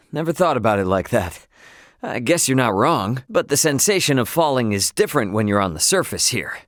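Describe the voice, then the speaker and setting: A man's voice: medium pitch, a bit bright, no room sound, studio quality. An actor playing an easygoing, cocky young male starship pilot and swordsman in a video game, with breezy, slangy, informal delivery.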